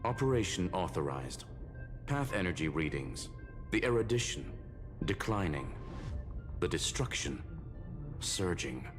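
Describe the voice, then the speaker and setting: Game casting: a coolly logical machine intelligence, very low, clipped and precise. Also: male voice, sing-song, a little slow, pauses often. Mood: analytical. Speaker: game character. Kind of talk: video game voice acting. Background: game music.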